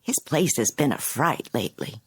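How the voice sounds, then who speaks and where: An actress playing a prim, fretful busybody neighbor in a radio drama, hesitant and politely insinuating, with a husky, creaky voice. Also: female voice, low-pitched, lively intonation, normal speed, no room sound, heavily acted. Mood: hesitant, uneasy.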